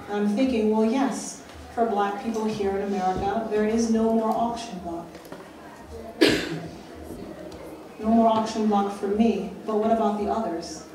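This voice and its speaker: A woman's voice: mid-range voice, noisy background. A young woman giving a keynote talk from a stage, earnest and questioning, pressing her points with rising emphasis. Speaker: keynote speaker. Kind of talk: public lecture. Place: dark stage at a festival, indoors. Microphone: handheld mic on a stand. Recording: roomy hall from a far camera, audience.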